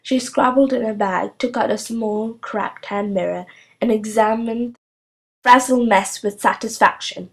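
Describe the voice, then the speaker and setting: A woman narrating a children's storybook aloud as an amateur reader, in an expressive scripted style. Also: fairly high pitch, a little slow.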